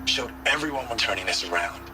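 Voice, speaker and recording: An actor playing a weary, devoted soldier speaking a tender, halting message to a loved one back home. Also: male voice, on the low side, expressive, close to an everyday voice. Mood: weary pride. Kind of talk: dramatic voice-message monologue. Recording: music behind the voice.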